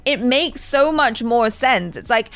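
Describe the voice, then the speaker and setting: A woman's voice: on the high side, animated, measured pace, a bit bright, clean tone, dry recording. A woman co-hosting a reaction show, chatting casually with slangy, joking delivery. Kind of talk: casual chat.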